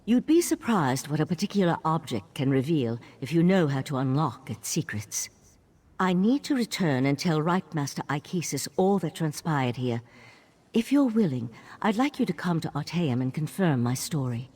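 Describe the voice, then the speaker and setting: An actor playing a calm, earnest male mage of a secretive magic order, in a video game. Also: on the high side, normal speed, slightly rough.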